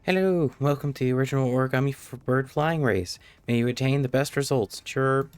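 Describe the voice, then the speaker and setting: A man's voice: mid-range voice, a little fast, smooth, no room sound, lightly acted. A man voicing a small chirping bird character while reading video game dialogue aloud. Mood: friendly, instructing.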